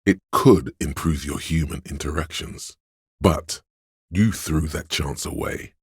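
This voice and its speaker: Very deep, seductive voice of addiction. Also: male voice, measured pace, husky, dry recording, studio quality, full character voice. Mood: sly, gleeful. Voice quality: very deep, resonant. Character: seductive, indulgent inner voice of addiction and craving. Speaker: video game voice actor. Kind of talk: theatrical second-person game narration.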